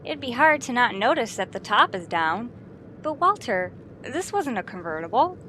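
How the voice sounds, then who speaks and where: A woman's voice: fairly high pitch, very animated, normal speed, bright, forceful tone, slight background noise. An actress playing a wry, wisecracking woman English teacher with dry, sardonic delivery in a 1950s radio sitcom. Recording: old radio broadcast recording.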